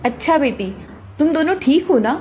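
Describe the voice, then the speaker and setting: A woman's voice: high voice, lively intonation, normal speed, a little hiss or hum. A caring cartoon mother. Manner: gentle and motherly, firm when warning. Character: caring mother of two grown daughters.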